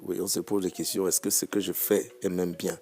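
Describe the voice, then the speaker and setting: A man's voice: sits low, little pitch movement, brisk, a little mellow, husky. A man from Cameroon, a musician guest on a panel talk show, speaking reflectively and repeating his phrases.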